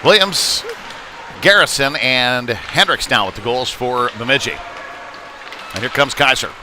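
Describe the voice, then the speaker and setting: Guy doing hockey play by play, regular pitch, chatty and folksy, noisy rink behind him. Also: measured pace. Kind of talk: live sports commentary with casual asides. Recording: noisy live rink ambience.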